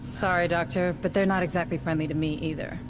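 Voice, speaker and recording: A woman's voice: fairly even intonation, fast, close to an everyday voice. A woman playing an earnest, determined civilian administrator in a video game. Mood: polite. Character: earnest, determined female company administrator, civilian among soldiers. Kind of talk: video game cutscene acting. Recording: music and vehicle rumble behind.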